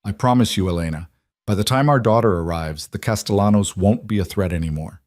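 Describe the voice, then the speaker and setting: A man voicing a cold, determined mafia boss who is protective of his family, with intense, measured delivery in an audiobook. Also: fairly low pitch, a little mellow, no room sound, studio quality, close to an everyday voice. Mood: confident. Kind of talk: audiobook character dialogue.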